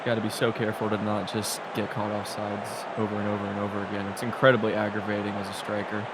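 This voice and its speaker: A man's voice: lowish voice, fast. Chatty male color commentator, noisy. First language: English. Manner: chatty, analytical, excitable. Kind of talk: casual sim soccer commentary.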